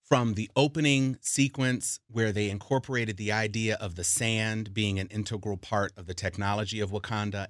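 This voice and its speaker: A man's voice: fairly low pitch, fairly bright tone, dry recording, studio quality. A man co-hosting a podcast, talking enthusiastically in long run-on sentences. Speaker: podcast co-host. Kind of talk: casual podcast chat.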